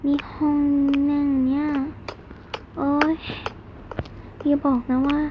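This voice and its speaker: A young female performer voicing a vain, sassy, grumbling schoolgirl with drawn-out exclamations in a game role-play drama. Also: high voice, flat delivery, narrow, tinny audio, background noise. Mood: indignant, nosy. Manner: exclamatory, drawn-out whining interjections.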